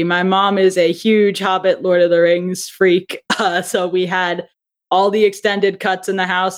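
A young adult woman chatting casually as an interview guest, with a giggly, talkative manner. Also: fairly low pitch. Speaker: interview guest, audio drama writer and director.

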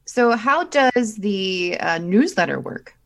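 An upbeat woman co-hosting a relaxed chat, her pitch rising and falling in a lively way. She chimes in eagerly and is quick to laugh. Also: vocal fry. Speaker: co-host. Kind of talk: casual interview chat.

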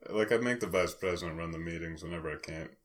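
A man's voice: low voice, quick pace. A young man co-hosting a podcast in casual chat, laughing often and filling his talk with "like". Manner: laughs often, lots of filler "like". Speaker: podcast co-host.

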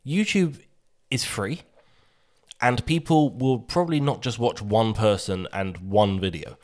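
A man co-hosting a podcast, explaining his points in an emphatic way and slowing down to stress key ideas. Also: lowish voice, a little mellow. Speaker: podcast co-host. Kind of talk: casual business chat.

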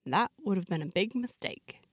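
A man's voice: high voice, animated, moderate pace, slightly rough, dry recording, clean studio sound. A woman voicing a mischievous young male shapeshifter who talks in a teasing, knowing way.

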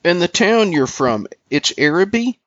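Male interview host with lively pitch swings. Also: a little slow, no echo, highs cut off. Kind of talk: scripted introduction and friendly interview questions.

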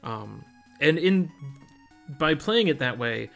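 A man's voice: a little high, expressive, slow, creaky, fairly bright tone, soft background music. A man co-hosting a podcast, chatting casually about video games. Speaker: podcast host. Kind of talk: casual video game chat.

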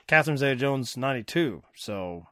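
A man's voice: mid-range voice, animated, fairly quick, pushed and bright, no room sound, clean studio sound. A man hosting a casual movie-review podcast, rambling wryly and laughing often. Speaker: podcast host.

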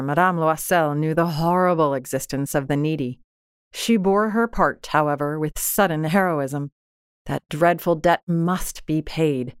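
Female storyteller for classic fiction: lowish register, deliberate expressive reading, dry recording. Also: no echo. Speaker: audiobook narrator. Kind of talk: scripted literary storytelling.

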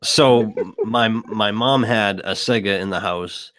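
Hesitant deep-voiced man. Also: takes it slowly, fairly bright tone, no room sound, studio quality. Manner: hesitant, lots of fillers. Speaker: podcast co-host. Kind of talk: casual chat.

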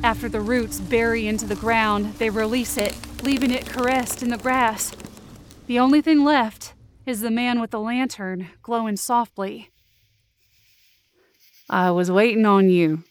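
A woman's voice: fairly high pitch, fairly even intonation, normal speed. A woman narrates a dramatic first-person story in an earnest, suspenseful way. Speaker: narrator of a fiction podcast, in character. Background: music under the voice.

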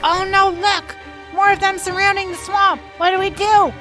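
A woman's voice: extremely high pitch, fairly even intonation, normal speed. A woman playing a plucky female troll in an audio drama, chatty and encouraging. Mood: alarmed. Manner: upbeat, encouraging. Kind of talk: audio drama character acting. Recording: music behind the voice.

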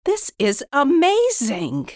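A woman's voice: sits high, huge pitch swings, slow, no echo, clean studio sound, full character voice. A woman playing an easily flustered, devoted scientist in an audio storybook drama. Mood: puzzled.

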